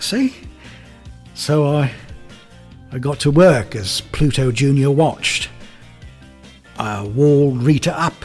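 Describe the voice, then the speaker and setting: An actor with a British accent playing a bitter failed photographer who confesses to murder in a rambling, halting, wry way in a radio drama. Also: male voice, mid-range voice, natural voice. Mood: cold, wry. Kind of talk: dramatic first-person confession.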